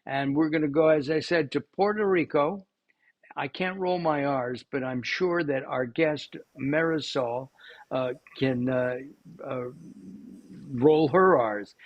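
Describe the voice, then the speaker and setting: A man hosting a cooking show, chatting casually with lots of fillers and restarts. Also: on the high side. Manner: rambling, many fillers and restarts.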